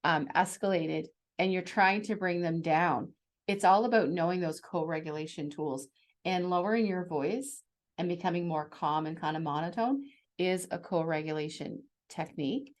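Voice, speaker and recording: A middle-aged woman explaining things conversationally as a co-host, in a measured, practical way. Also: low voice. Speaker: parent sharing parenting strategies as co-host.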